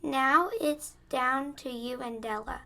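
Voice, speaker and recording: Schoolgirl acting cheerful woman friend, very high voice, unhurried, gushing, radio play. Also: fairly even intonation, vocal fry, pushed and bright, full character voice. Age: child, about 9 or 10.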